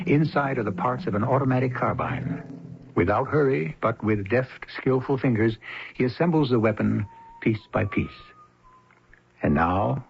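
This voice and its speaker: A man narrating a crime story for a radio drama, deliberate and suspenseful in his delivery. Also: fairly low pitch, vocal fry. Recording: muffled old radio broadcast, faint noise.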